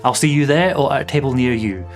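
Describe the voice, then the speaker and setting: A man's voice: medium pitch, fast talker, faint music behind. A Scottish man, a tabletop game master, giving friendly but opinionated tips in scripted narration.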